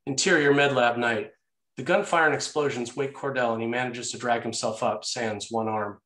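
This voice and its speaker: A man's voice: mid-range voice, fairly even intonation, normal speed, fairly bright tone. A man narrating screenplay action and scene headings as a scripted read. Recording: echoey room sound.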